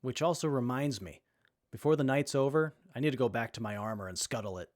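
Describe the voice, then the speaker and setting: A man's voice: mid-range voice, expressive, fairly quick, darkish tone, natural voice. A man playing an earnest, brainy young survivor who tinkers with armor, in a post-apocalyptic audio drama. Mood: matter-of-fact.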